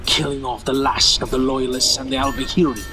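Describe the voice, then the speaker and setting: A man's voice: medium pitch, fairly even intonation, a little fast, music in the background, natural voice. A man playing a scheming villain lord in an audio drama, commanding and theatrical. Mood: scheming, confident. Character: scheming male villain lord plotting annihilation.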